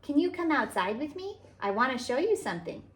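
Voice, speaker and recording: A woman voicing an excited, curious four-year-old girl in a children's storybook, with an eager, childlike manner. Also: high-pitched, brisk, pitched above the performer's own voice. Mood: eager, proud.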